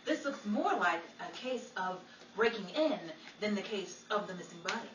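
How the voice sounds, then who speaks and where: A woman's voice: medium pitch, animated, moderate pace, recorded from a distance, natural voice. An actress playing a shrewd, sassy woman sleuth in a theatrical 1940s radio comedy style. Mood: intrigued. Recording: echoey room, off mic, faint noise.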